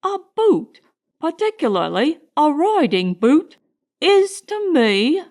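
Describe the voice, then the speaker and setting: An exuberant Cockney servant lad of eighteen, acted out by a woman reading a storybook, high in pitch and full of swoops. He is impudent and theatrical, relishing each word with a flourish. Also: big pitch swings, slow pace, a little mellow, heavily acted. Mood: rapturous. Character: cheeky, exuberant eighteen-year-old Cockney servant boy.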